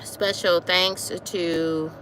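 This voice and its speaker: A woman in her forties chatting casually on a livestream while out walking, repeating herself warmly and calling her viewers y'all. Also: lowish voice, level tone, extremely slow, low-quality recording. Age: 40s. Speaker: livestream host. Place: outdoors on a walk, wind noise. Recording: faint wind noise.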